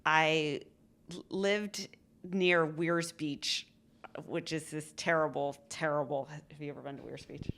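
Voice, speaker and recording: Low-voiced woman, candid guest. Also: fairly even intonation, fairly bright tone. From born in Colorado, raised in New Hampshire. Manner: candid, chatty, self-deprecating, quick asides. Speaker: interview guest, former media CEO. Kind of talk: casual interview conversation.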